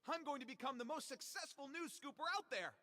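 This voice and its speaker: An actor playing an excitable, ambitious young male reporter in a video game, theatrical and earnest, with a self-important streak. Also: very high voice, brisk, heavily acted. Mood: earnest, proud. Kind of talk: video game character dialogue.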